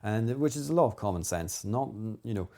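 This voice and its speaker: A man's voice: mid-range voice, lively intonation, brisk, dark tone. A man with a Northern Irish accent, speaking as an interview guest in a rambling, earnest way with many fillers. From Northern Ireland. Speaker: guest herbalist. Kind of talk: casual conversation.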